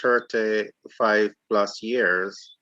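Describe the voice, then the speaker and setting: A man with a low voice leads the conversation with short, agreeable prompts. The recording sounds dull and lo-fi. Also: steady tone, drawn-out words, sharp tone, no echo. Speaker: interviewer. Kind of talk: conversational discussion.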